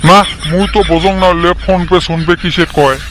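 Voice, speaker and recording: A man voicing a stingy, quick-tempered middle-aged sweet seller, speaking in a loud, scolding way. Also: sits high, fairly even intonation, noisy background, natural voice. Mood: mocking. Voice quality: loud street-hawker calling, scolding. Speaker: jilebi vendor.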